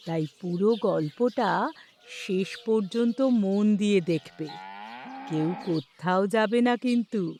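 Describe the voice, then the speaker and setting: A woman's voice: mid-range voice, darkish tone, slight background noise. A woman narrating a scripted children's story as host, speaking directly to young listeners.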